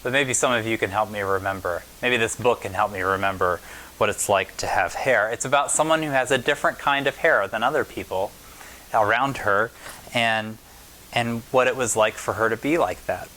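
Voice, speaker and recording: A man reading a children's picture book aloud as a pastor at storytime, in measured short phrases with pauses. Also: on the low side, bright, forceful tone, a little hiss or hum, rough recording.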